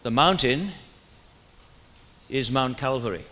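A man's voice: mid-range voice, lively intonation, takes it slowly, pushed and bright, long reverb tail, a little hiss or hum. A man with a Welsh accent preaching a sermon in a conversational way, often asking his listeners questions.

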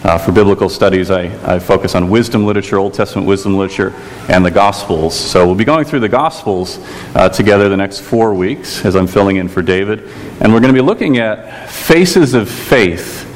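A man from Chicago with a Chicago accent, preaching a sermon as a guest preacher, telling stories gently and earnestly with some "uh"s. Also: on the low side, brisk, in a large hall, slight background noise. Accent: American, Chicago. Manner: storytelling, gentle and earnest, with filler "uh"s. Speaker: guest preacher, theology lecturer. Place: church.

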